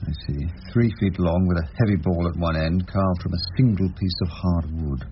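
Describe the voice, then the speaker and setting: An actor playing a wry, thoughtful English criminal barrister in a radio drama, speaking drily and deliberately with musing hums. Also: male voice, deep voice, lightly acted. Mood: measured, probing. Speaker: actor in the lead role and narrator. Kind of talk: radio drama narration and dialogue. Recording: dull, noisy lo-fi radio drama recording.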